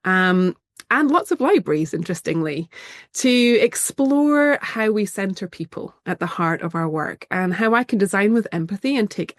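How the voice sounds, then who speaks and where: Woman with a slight cold, lower-pitched. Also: dry recording, clean studio sound. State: has a cold, slightly nasal. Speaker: museum director giving a presentation. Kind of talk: presentation with slides on a video call.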